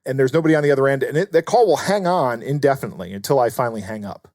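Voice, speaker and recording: A man's voice: mid-range voice, racing, a little mellow, dry recording, clean studio sound. An American man co-hosting a podcast in a casual style, chatting and reading aloud. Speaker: podcast co-host. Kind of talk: casual chat and reading out listener mail.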